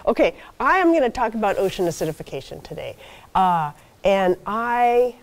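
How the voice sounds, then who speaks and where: A soft-spoken older woman gives a guest lecture from a podium in a lecture hall. She explains things patiently, checking in with the audience with frequent okays and ums. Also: medium pitch, big pitch swings, moderate pace. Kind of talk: university seminar talk.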